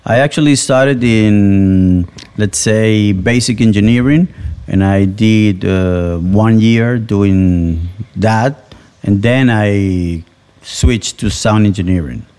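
A man from Venezuela who speaks English as a second language, talking slowly and hesitantly as an interview guest. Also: fairly low pitch, mellow, clear, resonant tone. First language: Spanish. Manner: slow and hesitant, tag questions. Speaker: guest musician and sound engineer. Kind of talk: relaxed interview talk.

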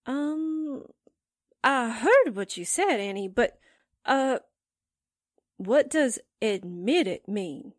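Actress as naive girl assistant, high pitch, slow delivery, easily flustered, vintage radio comedy-mystery style. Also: lively intonation, no room sound, clean studio sound. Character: naive, literal-minded, easily flustered young female assistant. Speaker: actor in an amateur radio drama re-creation. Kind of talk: 1940s radio acting.

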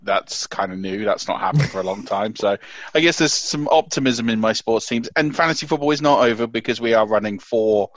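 Loud-voiced man hosting a podcast. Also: lowish voice, a little fast, no echo. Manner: loud, laughing, rambling. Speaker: podcast co-host. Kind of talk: casual chat. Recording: dull lo-fi dry sound.

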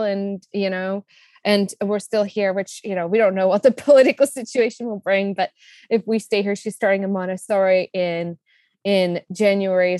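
A woman's voice: mid-range voice, darkish tone, dry recording, clean studio sound. A woman in her thirties from the Czech Republic with a Czech accent, a business coach telling her story as a guest, enthusiastic and talkative with many fillers. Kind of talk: casual interview storytelling.

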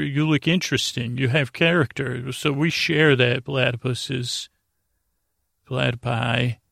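A man hosting a bedtime podcast in a gravelly voice, rambling haltingly and repeating words as he drifts into goofy, gently silly tangents. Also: medium pitch, no echo, clean studio sound. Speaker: host of a bedtime sleep podcast. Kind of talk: rambling, meandering bedtime monologue.

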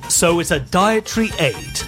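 A woman's voice: on the low side, animated, normal speed. A woman host with a mid-range voice that bounces up and down, full of wry, teasing quips. Faint music plays under her chat. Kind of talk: improvised comedy chat.

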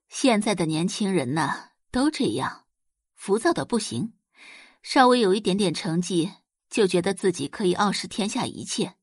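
Brief: woman plays wealthy middle-aged mother, soothing yet superior, very lively intonation, audio drama. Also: mid-range voice, a little slow, pauses often, husky, no echo, studio quality. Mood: dismissive. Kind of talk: Chinese audio drama acting.

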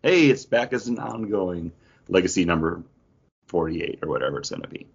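A man's voice: sits low, lively intonation, fairly quick, vocal fry, breathy edge, muffled recording. A man co-hosting a podcast, chatting casually about comic books. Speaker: podcast co-host. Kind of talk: casual comic book chat.

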